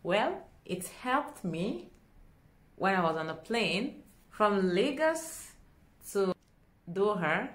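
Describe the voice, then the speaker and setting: Young woman, Nigerian accent, medium pitch, dramatic storytelling, expressive delivery, echoey home room. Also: takes it slowly, pauses often. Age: young adult. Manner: expressive, dramatic, acts out dialogue. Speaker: vlogger. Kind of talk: animated storytelling to camera. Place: small indoor room at home.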